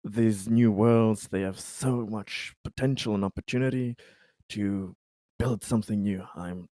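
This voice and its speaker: A man playing a tabletop roleplaying game, casually improvising his character in a halting way, pausing to find words. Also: on the low side, dark tone, no room sound, clean studio sound.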